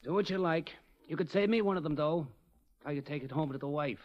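A man's voice: on the high side, fairly quick, slight background noise, natural voice. Guy doing a stubborn plain-talking cop, folksy, 1940s radio, dull recording. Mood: grudging. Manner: blunt, folksy, ungrammatical. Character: gruff working-class policeman guard, stubborn, dutiful.

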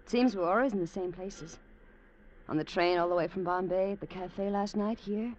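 An actress playing a mysterious, alluring young femme fatale in an old radio drama, soft and coy. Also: female voice, mid-range voice, moderate pace, natural voice. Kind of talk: 1940s-50s radio drama acting. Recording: dull, noisy old radio recording.

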